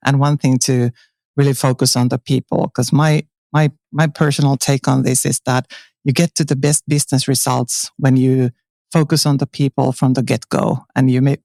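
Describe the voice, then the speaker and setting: A woman's voice: rumbling low, a little mellow, dry recording. A woman answers interview questions earnestly. Her speech is full of fillers and restarts. The sound is dry and close, with no room. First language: Finnish. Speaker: interview guest, transformation coach. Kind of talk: conversational interview. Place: conference venue.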